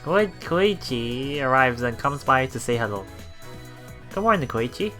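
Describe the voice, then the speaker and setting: Male let's play streamer, lively pitch, bright tone, halting read-aloud narration, faint music behind. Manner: halting reading with repeats and self-corrections. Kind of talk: reading a visual novel aloud with light commentary. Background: soft game music.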